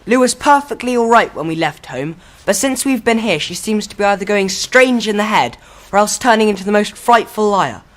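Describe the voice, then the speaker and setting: An actress playing a stern, protective, bossy older-brother schoolboy in a radio drama. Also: female voice, medium pitch, expressive, a little fast, close to an everyday voice. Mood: indignant scolding.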